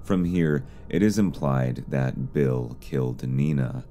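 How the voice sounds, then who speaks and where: A man with an extremely low, deep voice reads scripted narration. His tone is very dark and mellow, with little brightness to it. He speaks as the narrator of an explainer video. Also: slow pace, slight background noise.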